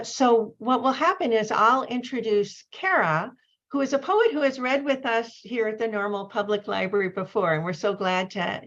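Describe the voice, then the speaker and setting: A woman hosting an online poetry reading with an informal, effusive and apologetic introduction over a video call. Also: mid-range voice. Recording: dull, lo-fi video call audio.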